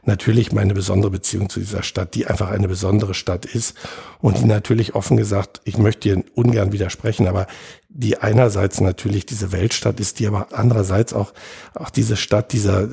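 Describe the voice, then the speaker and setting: A man in his fifties from Berlin speaks as a podcast guest, thoughtful and digressive as he tells his stories. His voice is low and gravelly, with a husky, unclear edge. The tone is dark and mellow. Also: level tone, brisk. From West Berlin, Germany. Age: 50s. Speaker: guest, political journalist. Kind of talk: reflective conversational storytelling.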